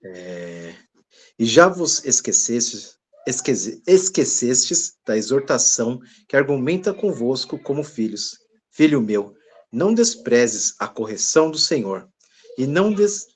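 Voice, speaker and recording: A husky-voiced man reads a Bible passage aloud for a church study group over a video call. He trips over words and restarts them, sounding a little apologetic. He sits back from the microphone. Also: mid-range voice, sing-song, slow pace. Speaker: study participant reading scripture.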